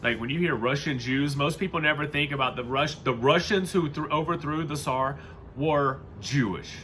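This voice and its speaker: A man's voice: fairly high pitch, normal speed, fairly bright tone, a little hiss or hum. An American man reading aloud and adding casual, rambling, informal commentary in a home-made recording. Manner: rambling, informal, loses his thread. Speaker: reader and commentator.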